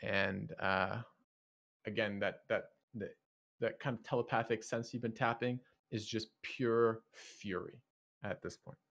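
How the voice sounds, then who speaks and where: A man narrating as game master of a tabletop game, halting and thinking aloud. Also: lowish voice, fairly even intonation, gravelly, fairly bright tone, lo-fi sound.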